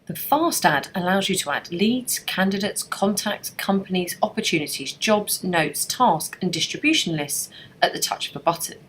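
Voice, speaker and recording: A woman narrating a scripted software tutorial as a trainer, explaining things step by step in an instructional way. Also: fairly low pitch, animated, moderate pace, husky, low-quality recording.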